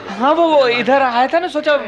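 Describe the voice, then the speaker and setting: A man's voice: extremely high pitch, normal speed, highs cut off. A man playing a nervous, evasive fellow in a radio play, hesitant and stammering. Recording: crowd noise behind.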